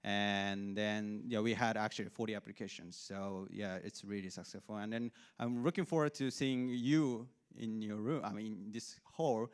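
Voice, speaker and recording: A man's voice: on the low side, moderate pace, few pauses, clean tone. A man from a foundation giving unprepared remarks at a conference, hesitant, with many fillers and restarts. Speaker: foundation staff member. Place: large conference hall.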